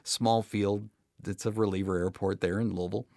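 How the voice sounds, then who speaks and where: American guy on a podcast, gravelly and lowish, straight-talking, a bit dry-humored. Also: steady tone, normal speed, darkish tone, no room sound. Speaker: guest air traffic controller. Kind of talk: conversational podcast interview.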